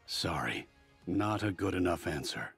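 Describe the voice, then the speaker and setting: A man's voice: low-pitched, animated, measured pace, creaky, fairly bright tone, husky, soft background music, heavily acted. An actor voicing an earnest, admiring but cautious man in a video game, talking like an articulate storyteller. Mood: cautious, firm. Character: earnest, admiring, cautious male fan of war heroes.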